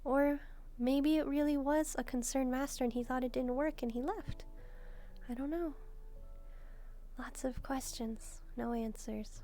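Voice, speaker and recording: A gentle young demon maid played by a woman speaks in a high, mellow voice with music clearly behind her. She is devoted and softly teasing, musing aloud to her master in an intimate video roleplay. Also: unhurried. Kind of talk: soft-spoken roleplay addressed to the listener. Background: background music.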